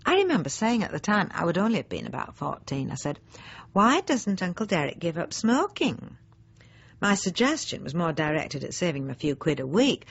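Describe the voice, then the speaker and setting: Radio monologue: snobbish middle-aged housewife, self-righteous and prim, husky with a creaky edge, on a slightly dull recording. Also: female voice, fairly low pitch, very animated, moderate pace, heavily acted. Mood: disapproving. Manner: prim, pointed, self-justifying, with emphatic swoops. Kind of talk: radio drama monologue, confiding.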